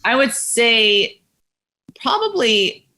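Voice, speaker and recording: A woman's voice: fairly high pitch, big pitch swings, extremely slow, bright, forceful tone. A woman guest speaking candidly and casually, rambling with lots of fillers. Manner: frank, rambling with many fillers.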